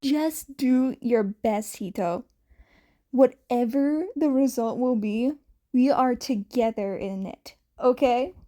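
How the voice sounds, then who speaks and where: A woman voices a caring teenage boy in an audiobook reading. He speaks slowly and gently, in a mellow tone, reassuring with deliberate care. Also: fairly high pitch.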